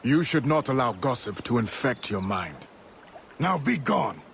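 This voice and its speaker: An actor voicing a stern, paranoid, embittered mentor of assassins in a video game, curt and scolding. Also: male voice, medium pitch, big pitch swings, unhurried, gravelly, a bit bright, slight background noise, full character voice. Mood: scornful. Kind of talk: dramatic video game voice acting.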